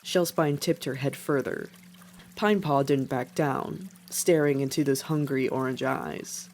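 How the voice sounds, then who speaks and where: Fiction narrator, scripted reading, medium pitch, faint background noise. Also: measured pace.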